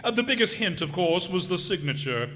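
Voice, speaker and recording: A man's voice: high voice, very animated, a little fast, bright, forceful tone, exaggerated voice. A man playing a pompous, stuffy older school principal who speaks formally and hems, in old-time radio comedy style. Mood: pompous, pleased. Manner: pompous, formal, hemming and throat-clearing. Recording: echoey hall, long reverb.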